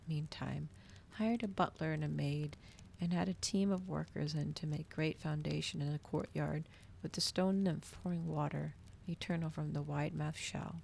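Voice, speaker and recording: A woman reading a story aloud in a soft, breathy ASMR style, with dramatic storytelling delivery, close to the microphone. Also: low voice, steady tone, moderate pace, a little hiss or hum. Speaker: streamer reading a novel aloud.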